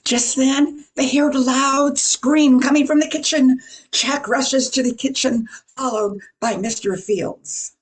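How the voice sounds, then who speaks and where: A woman's voice: sits high, fairly even intonation, slow pace. An older woman reading scripted narration for a radio mystery play.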